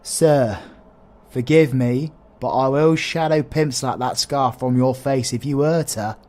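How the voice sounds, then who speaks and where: A man with a British accent playing a cocky, foul-mouthed, protective dark-magic supervillain in an audio roleplay, irreverent and colloquial. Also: mid-range voice, measured pace, close to an everyday voice. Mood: defiant, protective.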